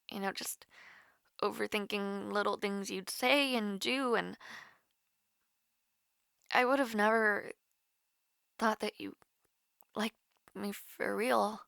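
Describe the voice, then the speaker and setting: A woman playing a nervous, smitten young woman in an intimate romantic audio roleplay, halting and flustered. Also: mid-range voice, bright, forceful tone, no room sound, clean studio sound, exaggerated voice. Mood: shy, relieved. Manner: halting, flustered, breathy sighs and whispers.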